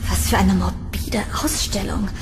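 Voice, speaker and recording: A woman playing a curious, uneasy young tenant in a horror audio drama, with expressive delivery. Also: mid-range voice, unhurried, whispered, lightly acted. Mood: disgusted. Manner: expressive, talking to herself. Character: curious, uneasy young female tenant exploring a creepy house. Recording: music behind the voice.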